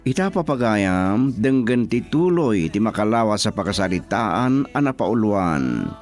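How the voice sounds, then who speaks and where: Male radio drama narrator, lowish voice, scripted recap over soft music. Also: very animated, measured pace.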